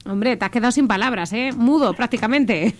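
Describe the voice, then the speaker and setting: Una mujer manchega con acento de La presenta un programa matinal de radio. Su voz es alta y clara. Bromea sin parar con quien llama. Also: female voice, a little high, fairly quick. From La Mancha, Spain. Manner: chatty, teasing, laughs often. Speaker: radio host. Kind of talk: morning radio birthday calls.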